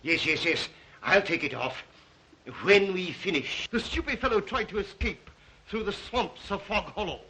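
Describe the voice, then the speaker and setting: An actor playing a suave, psychotic aristocratic hunter of men, courteous and menacing in an old 1930s melodrama style. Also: male voice, fairly high pitch, fairly bright tone, rough recording. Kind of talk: 1930s melodrama film acting.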